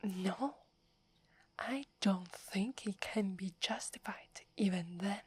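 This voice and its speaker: A woman's voice: medium pitch, slow, husky. A woman with an Italian accent voicing a proud, wary female werewolf who guards a holy forest, speaking in an accusing whisper for an ASMR roleplay. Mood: scornful. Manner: scornful, accusing.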